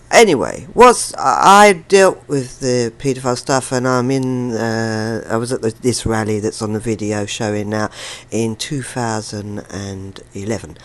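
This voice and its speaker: A woman host talking informally, in a halting, rambling way that often repeats words and circles back. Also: rumbling low. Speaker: interviewer and video host. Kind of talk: rambling informal commentary and casual chat.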